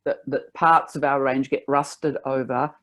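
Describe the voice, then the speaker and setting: A woman voice teacher talking in a reflective, spiritual way in a relaxed conversation, sometimes showing high and loud notes as she talks. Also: measured pace. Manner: reflective, spiritual, demonstrates pitch while talking.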